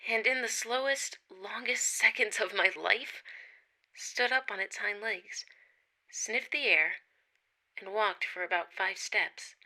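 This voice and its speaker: Woman reading her spooky sighting story, really bright tone, goes hushed at tense bits. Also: mid-range voice, rough recording. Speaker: witness reading her account. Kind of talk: first-person story reading.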